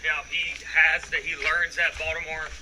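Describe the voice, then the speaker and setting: A man's voice: a little high, flat delivery, moderate pace. Pushy swearing guy in group chat, noisy background. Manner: emphatic, swears, interrupts to make his point. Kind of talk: casual chat.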